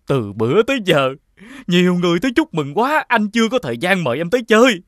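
Boastful, swooping rich elder brother. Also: male voice, sits high, big pitch swings, fast talker, a bit bright, no room sound, studio quality. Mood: smug, expansive. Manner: condescending, expansive. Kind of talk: animated fairy-tale voice acting.

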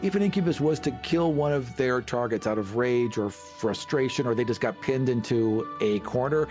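A man's voice: mid-range voice, moderate pace, darkish tone, soft background music. A man co-hosting a podcast in casual discussion, making short, emphatic statements. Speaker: podcast co-host. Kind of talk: casual discussion of fantasy game monsters.

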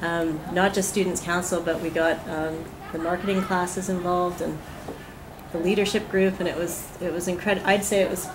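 A woman's voice: on the low side, little pitch movement, normal speed, background noise. A woman talking informally and approvingly, like a teacher. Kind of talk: informal praising talk. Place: school classroom, indoors.

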